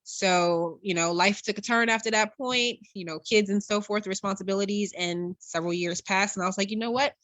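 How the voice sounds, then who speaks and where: A woman's voice: mid-range voice, a little fast, hardly any pauses, a bit bright, dry recording, low-quality recording. A woman being interviewed as an actress, casually telling personal stories.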